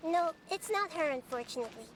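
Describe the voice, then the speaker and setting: A woman voicing a wise, composed child goddess in a video game, speaking in a calm, measured, explanatory manner. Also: extremely high pitch, background noise. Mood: calm, knowing. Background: game music.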